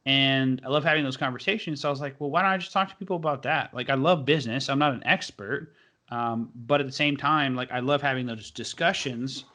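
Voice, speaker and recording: A young man hosts his podcast in a loose, enthusiastic ramble full of fillers. His voice is bright and forward. His pitch sits in the middle range. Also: fairly quick. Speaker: podcast host. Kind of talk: casual podcast chat.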